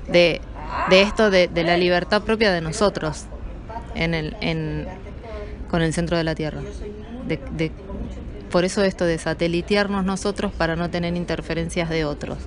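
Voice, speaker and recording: A woman answering interview questions at an event, hesitant and halting, often repeating words. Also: fairly low pitch, fairly even intonation. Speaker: event attendee being interviewed. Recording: chattering crowd at an event.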